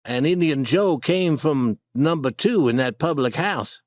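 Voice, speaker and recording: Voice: medium pitch, animated, a little slow, darkish tone, no room sound, close to an everyday voice. A storybook reader voicing a timid, superstitious boy who speaks hesitantly and nervously.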